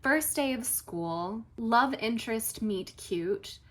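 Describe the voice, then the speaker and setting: A woman with a cold, a little nasal, chatting casually in a vlog and often dropping to a soft whisper. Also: a little high, expressive, slow pace. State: has a cold. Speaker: writer and vlogger.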